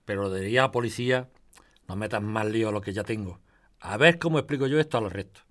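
An actor with a Spanish accent playing an excitable residents' association president in an audio drama. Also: male voice, lowish voice, quick pace, natural voice. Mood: exasperated. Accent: Spanish from Spain. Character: irritable yet flirty male residents' association president, builder.

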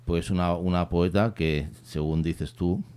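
Hombre de voz muy grave y tersa. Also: male voice, low-pitched, steady tone, darkish tone, clean tone. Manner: measured, frequent tag questions, reads quotes aloud. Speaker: radio host. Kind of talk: radio interview.

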